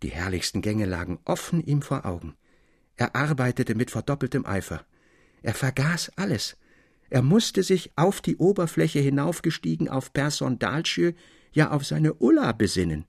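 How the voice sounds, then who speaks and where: A man reading a literary tale as an expressive audiobook narrator. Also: mid-range voice, moderate pace, vocal fry, breathy edge, no echo, clean studio sound. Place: dry studio.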